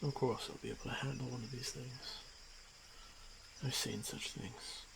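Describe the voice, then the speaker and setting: A man voicing a stoic, protective orc warrior in a fantasy ASMR roleplay, speaking in terse, measured phrases. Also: mid-range voice, fairly even intonation, gravelly, background noise, natural voice. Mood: calm, reassuring. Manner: measured, halting, terse phrases with solemn pauses.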